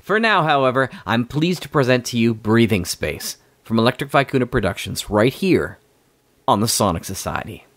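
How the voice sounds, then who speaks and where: A man's voice: medium pitch, fairly bright tone, dry recording. A man hosting a podcast, giving a spoken introduction to the show. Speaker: podcast host.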